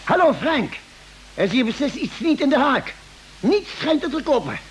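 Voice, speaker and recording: A man's voice: extremely high pitch, very animated, normal speed. An actor in an old Dutch radio drama playing an earnest, urgent male spaceship doctor, worried and taking charge. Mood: alarmed. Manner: earnest, urgent, hesitating at times. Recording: noisy vintage radio recording.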